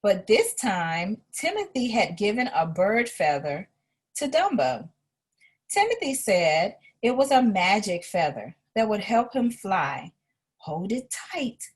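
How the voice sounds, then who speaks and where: A woman reading a children's story aloud in an expressive way, as an educator would to a young listener. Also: medium pitch, takes it slowly, husky.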